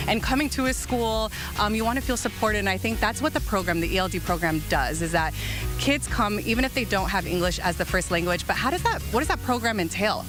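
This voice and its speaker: A woman hosting a local TV interview on a school campus, chatty and upbeat, with many "like"s and "right?"s. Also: medium pitch. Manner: bubbly, chatty, lots of "like" and "right?". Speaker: TV show host. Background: background music.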